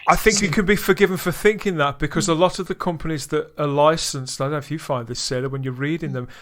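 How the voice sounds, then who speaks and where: Brief: male podcast host, slightly higher voice, keen and chatty, keeps asking the others. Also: steady tone, fast, fairly bright tone. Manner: enthusiastic, drawing in co-hosts with tag questions. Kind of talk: casual review discussion.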